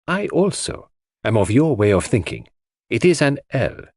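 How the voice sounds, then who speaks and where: A man voicing an excitable, precise, self-satisfied male detective in a dramatized mystery audiobook. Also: medium pitch, normal speed, dry recording, clean studio sound. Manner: precise, theatrical, exclamatory. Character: excitable, fastidious French-speaking male detective, pleased with himself.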